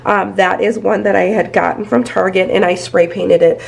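A woman's voice: mid-range voice, steady tone, moderate pace, vocal fry. A woman in her thirties with a scratchy voice, chatting casually through a home decor tour indoors with a handheld phone, full of fillers. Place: inside a house. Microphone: handheld phone camera.

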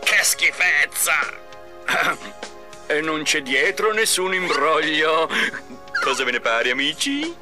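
A scornful villain inventor in a game cartoon. His high voice swings widely as he delivers a grandiose, theatrical sales pitch over clear music. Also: sing-song, takes it slowly, pauses often, pushed and bright, exaggerated voice. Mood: showy. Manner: grandiose showman.

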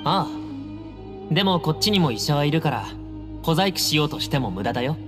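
A man's voice: on the high side, sing-song, brisk. An actor playing a guarded, self-assured young male temple heir in a Japanese anime game, speaking in a cool, firm, composed manner. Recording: reverberant hall, music underneath.

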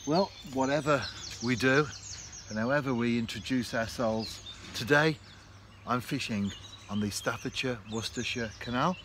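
A man, talking to camera in a relaxed, reflective way while fishing on a canal bank. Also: medium pitch, moderate pace. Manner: chatty, reflective, speaks straight to camera. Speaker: fishing vlogger. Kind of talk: relaxed outdoor vlog narration. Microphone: camera close in front. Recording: faint outdoor noise. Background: birds and countryside ambience.

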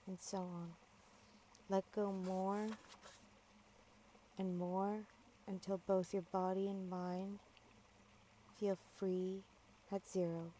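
A woman guiding a yoga nidra meditation, giving calm, measured, gentle instructions with long pauses. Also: mid-range voice, slight background noise, poor audio. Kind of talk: guided meditation, slow soothing instruction.

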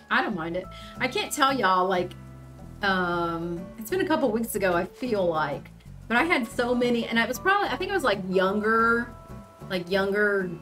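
A woman in her thirties with a Southern US accent, chatting casually on a gaming stream, reading chat aloud and often pausing with ums. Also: medium pitch, fairly bright tone. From Southern United States. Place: small room indoors. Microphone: headset.

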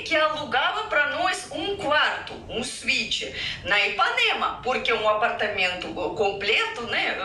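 A woman in her forties from Russia with a Russian accent, talking to viewers in a halting, animated way full of fillers. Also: high voice. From Russia (Siberia). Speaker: featured vlogger. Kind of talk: reacted-to vlog, casual talk to viewers. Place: indoor room with yellow sofa and painting. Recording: roomy, distant, faint noise.

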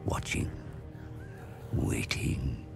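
A man playing an ominous storyteller, narrating a dark fantasy game in a portentous, theatrical manner. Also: drawn-out words. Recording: loud music under the voice. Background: dramatic music.

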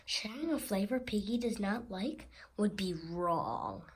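A woman voicing an excitable, anxious cartoon elephant in a theatrical children's picture-book read-aloud, swinging between whispers and loud outbursts. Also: medium pitch, measured pace, a little hiss or hum. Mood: hesitant, scheming.

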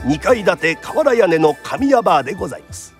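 An actor playing a lively storyteller, narrating a radio drama in theatrical, punchy traditional phrasing. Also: male voice, high voice, a little fast, faint music behind. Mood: vivid narration.

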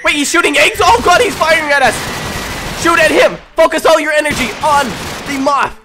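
A young man with a very high voice plays through a game in character, improvising as he goes. He barks urgent orders and callouts to his teammates in an excited gamer style. Loud music plays behind him. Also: a little fast. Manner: urgent, shouts commands to teammates. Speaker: gaming video host playing a roleplay game. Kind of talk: excited improvised gameplay roleplay commentary.

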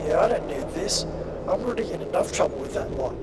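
A man's voice: high voice, expressive, fast, very noisy, pitched above the performer's own voice. A man voicing a nervy, wary lookout in a sci-fi audio story, with edgy military slang.

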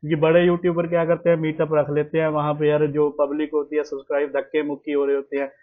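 A man's voice: on the high side, monotone, fast. Young man, vlogger, casual chat, home room, tinny audio. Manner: chatty, repeats himself, sprinkles English words. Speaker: vlogger host. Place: small room at home, indoors.

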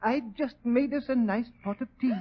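A man's voice: extremely high pitch, normal speed. An actor playing a meek, anxious little man, fretful and pleading, in a 1940s radio drama. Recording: muffled 1940s radio recording.

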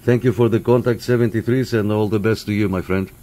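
A man's voice: on the low side, steady tone, fast talker, dark tone. A man working as a CB radio operator and host, making contacts with call signs and casual chat. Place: indoor room by CB radio sets. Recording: clear background static and radio hiss. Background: radio static.

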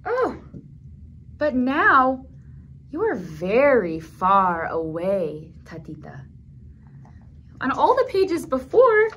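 Slow, high-voiced woman reading to toddlers. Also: slight background noise. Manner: gentle, simple phrasing aimed at small children, with questions. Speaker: children's librarian, storytime host. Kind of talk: toddler storytime, read-aloud with commentary. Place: small indoor room at a library.

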